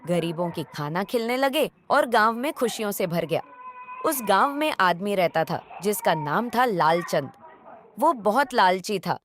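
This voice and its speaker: A woman's voice: mid-range voice, expressive, unhurried, fairly bright tone, soft background music. A woman telling a story as narrator, reading scripted narration. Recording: faint background noise.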